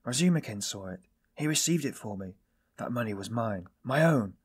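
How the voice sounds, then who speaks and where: A man playing a weary, self-loathing man in audiobook dialogue, confessing in a brooding, bitter, halting manner. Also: medium pitch, lively intonation, breathy edge. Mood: hurried, defensive.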